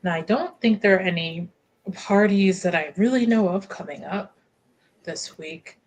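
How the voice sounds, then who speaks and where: Slow-paced woman roleplaying at game table. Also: fairly low pitch, slight background noise. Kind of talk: casual improvised in-character roleplay.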